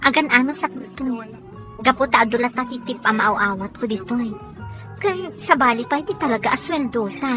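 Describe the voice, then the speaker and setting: A woman's voice: a little high, animated, a little fast, over music, close to an everyday voice. A woman acting as a practical young working woman chatting with a friend in a scripted Ilocano radio drama. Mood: matter-of-fact.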